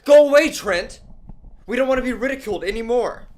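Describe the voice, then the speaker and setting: High-pitched young actor as a defensive son. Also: male voice, animated, brisk, slight background noise. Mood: upset. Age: young adult. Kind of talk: earnest low-budget skit acting.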